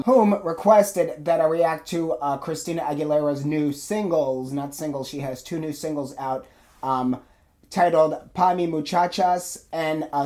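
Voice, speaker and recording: A man hosting a music reaction video, talking casually to camera while sore-throated and hoarse from a cold, with lots of fillers. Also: fairly high pitch, a little mellow. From United States. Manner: chatty, many fillers, apologetic and eager.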